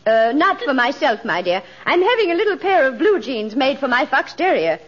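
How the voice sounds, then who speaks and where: A woman's voice: sits high, very animated, normal speed. An actress playing a genteel, droll society lady with refined, affected manners in a 1940s radio comedy. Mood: airy, genteel. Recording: noisy, narrow 1940s radio recording. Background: studio audience.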